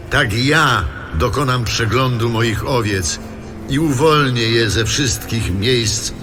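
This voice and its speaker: Slow, solemn divine shepherd played by a man. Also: medium pitch, lightly acted. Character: God speaking as a solemn, protective shepherd. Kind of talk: dramatised audio Bible, scripture recitation. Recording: loud music bed under voice.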